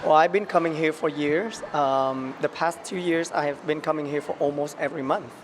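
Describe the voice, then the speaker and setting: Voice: medium pitch, moderate pace. Interview guest from Vietnam, noisy background. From Vietnam, later United States. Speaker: interview guest, restaurant owner. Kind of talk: casual interview. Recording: noisy location with traffic ambience.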